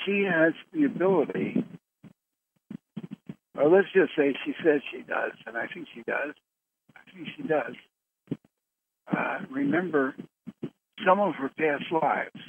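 A man hosting a conference call in a casual, rambling way, pausing often mid-sentence, heard over the telephone. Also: fairly high pitch, vocal fry. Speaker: host of a conference call show. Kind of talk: casual, rambling talk to callers. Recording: narrow phone line with background noise.

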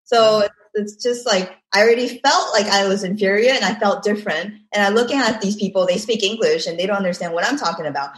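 Woman, from Taiwan, interview guest, reflective, repeats phrases, soft laughs, roomy echo. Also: mid-range voice, a little fast, few pauses. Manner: reflective, laughs softly between thoughts, repeats phrases for emphasis. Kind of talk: casual interview conversation.

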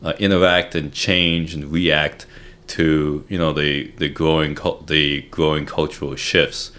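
A man's voice: extremely low pitch, flat delivery, unhurried, a bit bright. A man hosting an interview podcast, talking casually and hesitantly with many fillers. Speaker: podcast host.

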